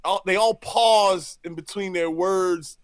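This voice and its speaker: A man's voice: high voice, slow pace, no room sound. A man co-hosting a live show, throwing in blunt, profane, mocking comments about news clips. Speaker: co-host.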